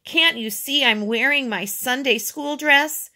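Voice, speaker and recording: A woman's voice: mid-range voice, lively intonation, takes it slowly, bright, forceful tone, full character voice. A woman voicing a prim, defensive young girl with an indignant manner in a storybook.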